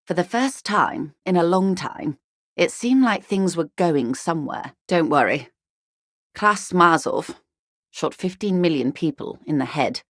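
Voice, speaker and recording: A wealthy, middle-aged female negotiator for a video game. Her voice is low and recorded very dry and close. She speaks in measured, eloquent sentences with polished formality and a worldly, dry irony. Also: animated, no room sound. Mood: wry, somber. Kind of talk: video game character dialogue.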